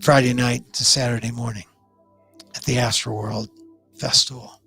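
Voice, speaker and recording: Man, hoarse scratchy voice, middle-aged, talk host, opinionated, rambling, faint music behind. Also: mid-range voice, steady tone, normal speed, sharp tone. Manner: opinionated, loose rambling commentary with restarts. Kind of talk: live online talk show commentary.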